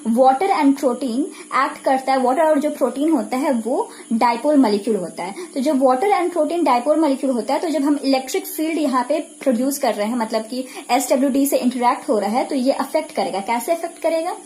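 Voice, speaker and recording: A woman's voice: high-pitched, moderate pace, hardly any pauses, dark tone, poor audio. A woman with an Indian accent giving an explanatory lecture in a didactic way, posing questions and then answering them herself. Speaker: physiotherapy lecturer. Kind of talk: explanatory lecture mixing Hindi with English technical terms.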